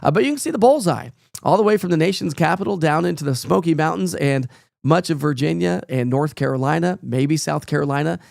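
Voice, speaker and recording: Gravelly-voiced weatherman fighting a cold. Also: fairly high pitch, a little fast, darkish tone, dry recording, clean studio sound. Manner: chatty, folksy, rambling with fillers. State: losing his voice, has a cold. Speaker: meteorologist. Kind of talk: casual live weather forecast.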